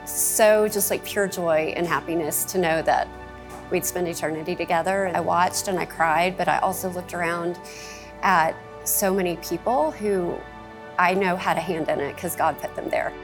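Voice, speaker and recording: A woman's voice: on the low side, steady tone, moderate pace, low-quality recording. A woman from Dallas, Texas, giving an earnest, heartfelt testimony. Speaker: church member giving testimony. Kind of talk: testimony interview. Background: music.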